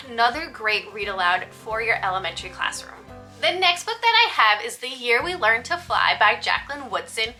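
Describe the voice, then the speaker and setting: A woman presents book recommendations in an upbeat, scripted style. Her voice sits fairly high and is very bright, with presenter-like stress on key words. Music plays behind her. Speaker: book reviewer and host for teachers. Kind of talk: upbeat scripted book review narration to viewers.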